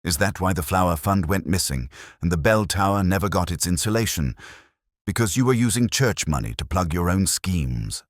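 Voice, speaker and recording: A man's voice: deep voice, expressive, normal speed, no echo, clean studio sound, pitched above the performer's own voice. A man voicing a trembling, indignant townswoman making accusations in a story. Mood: accusing.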